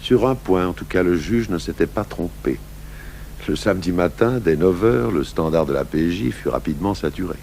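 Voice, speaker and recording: An actor playing a police commissioner who narrates his case in a radio drama, measured, dry and gravelly. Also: deep voice, animated, a little mellow. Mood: dry, reflective. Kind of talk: scripted first-person radio drama narration. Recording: hummy, noisy old radio broadcast.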